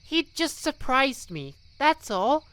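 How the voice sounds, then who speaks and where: Nervous young male cat apprentice played by a woman, high voice, hesitant, lively pitch swings. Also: takes it slowly, heavily acted. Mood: nervous, defensive. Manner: hesitant, defensive. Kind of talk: fandub audiobook voice acting.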